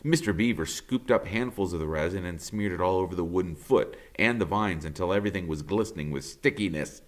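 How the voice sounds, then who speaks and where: A man reading a children's storybook aloud as expressive narration, like a teacher to pupils. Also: low-pitched, fairly quick.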